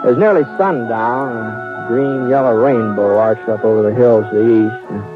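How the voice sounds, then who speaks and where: An actor as a folksy drawling cowhand narrator. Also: male voice, mid-range voice, moderate pace, lightly acted. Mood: calm. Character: easygoing adult male cowhand telling his tale. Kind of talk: 1950s radio drama narration and acting. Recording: tinny lo-fi old radio broadcast. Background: music.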